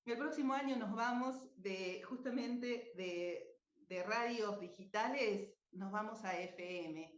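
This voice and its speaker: An Argentine woman giving an informal, effusive, anecdotal talk as a presenter. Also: fairly high pitch. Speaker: radio drama writer and speaker at a book fair. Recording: dull, echoey lo-fi room sound.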